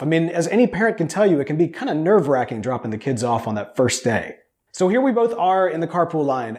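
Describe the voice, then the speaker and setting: A middle-aged man telling a personal story in a conversational, earnest way as he pitches his crowdfunding project. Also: a little mellow. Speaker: podcast producer and storyteller pitching his audio drama.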